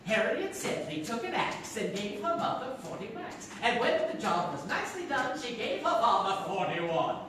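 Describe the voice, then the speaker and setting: A man's voice: high-pitched, big pitch swings, moderate pace, hardly any pauses. A man acting an imperious, scheming radio celebrity in a comic stage play, grandiose, commanding and mock-sweet. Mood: gloating. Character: Sherry Whiteside, imperious, scheming, theatrical celebrity radio wit. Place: theatre stage before a live audience. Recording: echoey theatre stage from far off, faint noise.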